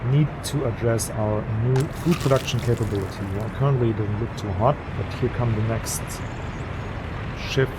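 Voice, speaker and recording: A man who is not a native English speaker, casually commenting on a city-building game as he plays, easygoing and jokey, thinking out loud. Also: medium pitch, normal speed, very noisy. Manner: easygoing, jokey, thinks aloud while playing. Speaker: let's play host.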